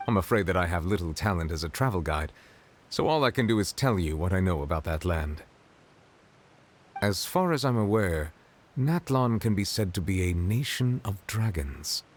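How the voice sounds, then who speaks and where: A man voicing a dignified, reserved ancient dragon statesman in a video game, formal and measured. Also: low voice, lively intonation, slight background noise. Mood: solemn, formal. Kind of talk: video game character acting. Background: faint game music.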